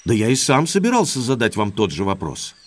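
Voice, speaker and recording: An actor playing an earnest, uneasy baronet in an audio drama, speaking expressively and with some deference. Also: male voice, lowish voice, wildly swooping pitch, a little fast, slight background noise. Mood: cordial.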